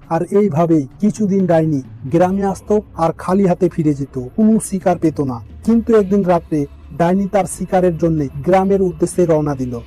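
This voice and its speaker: High-pitched male fairy-tale storyteller. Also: dark tone, low-quality recording. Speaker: storyteller, narrator. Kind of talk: scripted fairy-tale narration.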